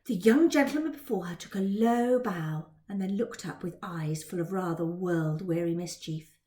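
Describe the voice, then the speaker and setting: A woman teacher and author talking to students and reading a story aloud in a dramatic, expressive way. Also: on the low side, moderate pace. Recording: roomy indoor echo.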